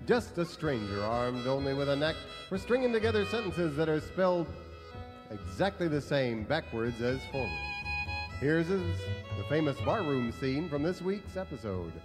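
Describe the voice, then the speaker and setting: A man announcing and narrating a radio comedy in a booming, mock-dramatic style. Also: very animated, normal speed, big-room sound, music in the background. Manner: booming, theatrical.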